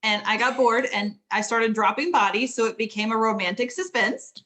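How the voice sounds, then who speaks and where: A middle-aged woman, a fantasy author, chatting casually about writing, laughing often and filling pauses with ums. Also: a little high, fairly even intonation, a bit bright.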